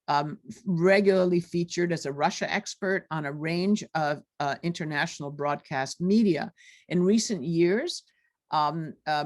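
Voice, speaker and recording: A woman professor reads out a guest speaker's biography as a formal introduction. She talks through it in an ordinary speaking voice, often pausing on ums and uhs. The delivery is friendly and plain. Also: low voice. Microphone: video call.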